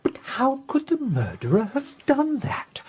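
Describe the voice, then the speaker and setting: Very husky, excitable writer. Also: male voice, extremely high pitch, a little slow, exaggerated voice. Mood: eager, curious. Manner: agitated, questioning. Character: excitable, indignant, inquisitive English male crime novelist. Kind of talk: radio drama acting.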